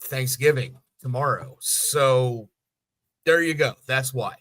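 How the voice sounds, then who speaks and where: A man's voice: medium pitch, slow, a bit bright. An American man co-hosting a podcast with wry, crude, teasing banter. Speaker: podcast co-host. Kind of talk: casual banter.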